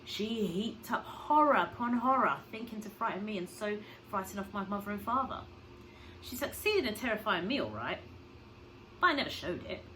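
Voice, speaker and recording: Animated woman reading a story, noisy recording. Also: medium pitch, normal speed. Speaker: schoolteacher reading a story to pupils. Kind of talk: expressive read-aloud of a children's novel.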